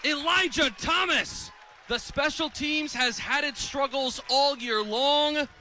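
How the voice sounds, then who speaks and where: Young guy doing football radio play-by-play, high voice, excitable, crowd noise behind him. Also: slow pace. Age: 20s. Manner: animated, builds from clipped calls to excited shouting. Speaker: play-by-play sports announcer. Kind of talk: live American football radio commentary. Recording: stadium crowd behind the commentary. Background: stadium crowd.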